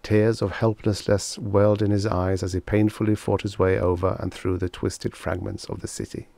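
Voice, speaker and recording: A man with a fairly deep voice reads fiction as an audiobook narrator. His delivery is measured and expressive. The recording is dry, free of room sound. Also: vocal fry, no echo. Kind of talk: scripted story narration.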